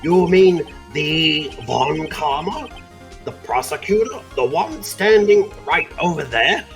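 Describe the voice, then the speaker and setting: A man's voice: fairly high pitch, lively intonation, slow, faint music behind. A man playing a grave, pompous elderly judge in a video game fan dub, speaking in a stately, formal way. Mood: astonished. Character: grave elderly male courtroom judge, easily flustered and pompous.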